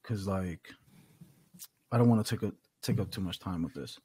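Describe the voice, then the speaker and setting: A man's voice: deep voice, normal speed, mellow, husky, no echo. An American man in his thirties co-hosting a casual podcast, talking in an emphatic, opinionated, slangy way. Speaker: podcast co-host. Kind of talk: casual wrestling review chat. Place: small room at home, on a video call. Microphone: desktop condenser microphone, close.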